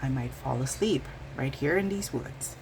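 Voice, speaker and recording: A woman voicing a big, gentle, sleepy male bear in a bedtime storybook, speaking tenderly. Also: rumbling low, animated, normal speed, background noise.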